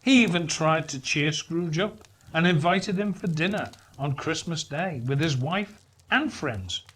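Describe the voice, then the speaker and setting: A man reading a story aloud as a dramatic narrator, with deliberate, theatrical emphasis. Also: fairly high pitch, animated, fairly bright tone, husky. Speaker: story reader.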